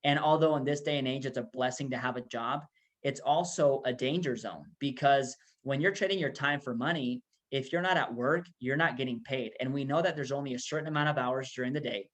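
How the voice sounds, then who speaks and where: A man's voice: medium pitch, a little fast, fairly bright tone. A man from Peru, presenting a casual, filler-heavy motivational talk about making money. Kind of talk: business opportunity presentation.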